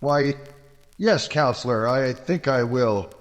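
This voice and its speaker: A man playing a stern, pointed small-town prosecutor, speaking formally and deliberately in an old-time radio drama. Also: mid-range voice, long reverb tail, close to an everyday voice. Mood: probing.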